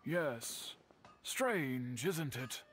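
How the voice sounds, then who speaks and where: An actor playing a courteous, earnest older swordsmith in a video game, speaking in a formal, measured, eloquent way. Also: male voice, mid-range voice, animated, a bit bright, faint music behind. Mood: pensive.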